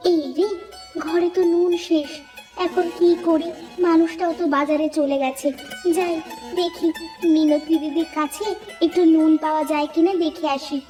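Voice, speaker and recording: A woman voicing a bossy, scolding village housewife in an animated fairy tale, nagging and sharp-tongued. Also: extremely high pitch, normal speed, recorded from a distance, soft background music, rough recording. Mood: complaining.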